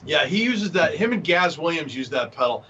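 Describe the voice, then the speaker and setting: A man's voice: a little high, big pitch swings, moderate pace, fairly bright tone, breathy edge. A man with a Boston accent chatting casually on a panel, enthusiastic and joking. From Massachusetts, United States. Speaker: panelist, synth musician. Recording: dull lo-fi call, audible background noise.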